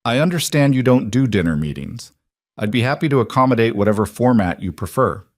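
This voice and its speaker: A man voicing an older businessman who speaks in a measured, formal, polite way, in an audio story. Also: medium pitch, lively intonation, a little mellow, dry recording, clean studio sound. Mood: courteous, measured. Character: older, measured, courteous businessman making a proposition.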